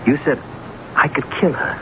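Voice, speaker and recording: An actor playing an earnest, nervous husband in a radio drama, speaking and narrating in a hesitant, halting way. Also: male voice, background noise, lightly acted. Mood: pleading, insistent. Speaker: actor and first-person narrator. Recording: muffled vintage radio broadcast, music behind.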